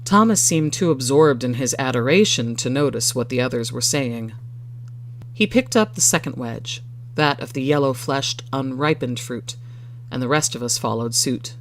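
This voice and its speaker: A storyteller reading a first-person short story in a measured, expressive way. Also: mid-range voice, slight background noise.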